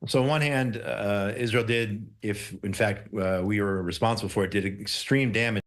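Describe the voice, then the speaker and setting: A man's voice: fairly low pitch, moderate pace. A man speaking as a correspondent in a live news interview, emphatic and repeating key words for stress. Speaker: Middle East correspondent, interview guest.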